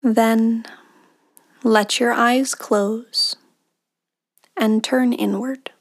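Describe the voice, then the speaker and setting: Very slow, soft-spoken woman guiding meditation. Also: a little high, steady tone. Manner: soothing, unhurried phrases with long pauses. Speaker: meditation guide, podcast host. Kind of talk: guided meditation.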